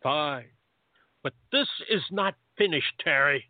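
A man acting a grumpy, lovesick older man in a radio play, with a gruff, hesitant manner. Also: fairly high pitch, expressive, slow pace, dry recording. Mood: angry. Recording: dry, thin phone-like sound.

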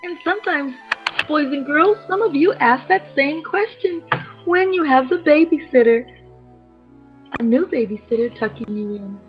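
A woman's voice: high voice, lively intonation, moderate pace. A woman hosting a children's bedtime story time, expressively narrating and speaking directly to young listeners. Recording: dull lo-fi sound with music.

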